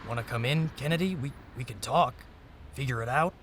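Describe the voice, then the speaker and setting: An actor playing a weary, gruff but caring newspaperman in a noir audio drama, dry and reluctant. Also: mid-range voice, brisk, close to an everyday voice. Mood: concerned, resigned. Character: tired, gruff but caring male newspaperman, old friend. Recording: clear background noise of ambience effects.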